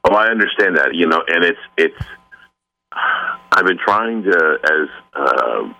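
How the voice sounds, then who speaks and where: A man guest with a sore throat, speaking earnestly in a phone-in interview, halting with many restarts. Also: sits low, lively intonation, vocal fry, bright, forceful tone, highs cut off. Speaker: author guest.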